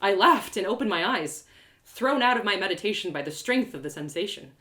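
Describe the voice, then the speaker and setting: A woman reading a first-person story aloud with expressive, playful, dramatic delivery. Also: mid-range voice, brisk. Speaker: author reading a story live.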